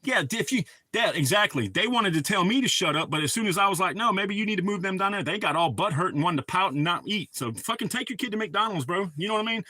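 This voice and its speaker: A man's voice: high voice, expressive, fast, few pauses, pushed and bright, no room sound. A middle-aged man hosting a livestream, telling a heated, rambling story full of cursing. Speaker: livestream host. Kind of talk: casual ranting chat.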